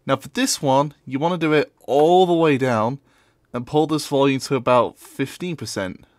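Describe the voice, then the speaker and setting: A man presenting a software tutorial in an offhand, informal way, as a casual voice-over. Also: medium pitch, moderate pace, dry recording, clean studio sound.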